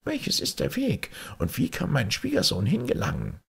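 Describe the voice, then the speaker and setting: Man putting on a high, husky old witch woman. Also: huge pitch swings, normal speed, fairly bright tone, heavily acted. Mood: brisk, instructing. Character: commanding old witch woman, mother-in-law.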